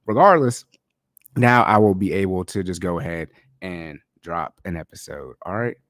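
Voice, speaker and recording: A man's voice: low voice, normal speed, a bit bright, no echo, clean studio sound. A man hosting a casual podcast, talking in a loose, slangy way, a little congested and nasal from a cold. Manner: loose, chatty, slangy delivery. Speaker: podcast host. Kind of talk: casual solo podcast talk. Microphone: podcast microphone.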